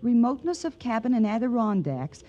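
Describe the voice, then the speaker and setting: An actress playing a breezy police office worker with an American accent in a 1950s radio crime drama. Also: female voice, fairly high pitch, sing-song, brisk, lo-fi sound. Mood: reading report.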